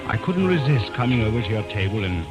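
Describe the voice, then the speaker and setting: An actor playing a flattering, smooth-talking con-man suitor in a vintage radio drama. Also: lowish voice, quick pace. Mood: seductive. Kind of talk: 1940s-50s radio acting. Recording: old radio recording, loud music behind.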